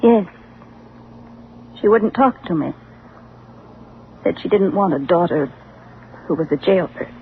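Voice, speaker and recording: A woman's voice: lowish voice, expressive, measured pace, long pauses. Wounded guarded young woman, bitter and plainspoken, old radio drama, thin sound w faint noise. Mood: wounded, wistful. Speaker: actor in dramatic role. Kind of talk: vintage radio drama acting. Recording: tinny, noisy vintage radio recording.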